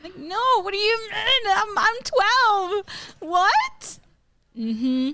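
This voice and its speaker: A young adult woman from northern Italy co-hosting a podcast in casual chat, telling animated stories and leaping into high voices for quotes. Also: very slow, slight background noise. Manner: animated storyteller, jumps into high acted quotes. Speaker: podcast co-host.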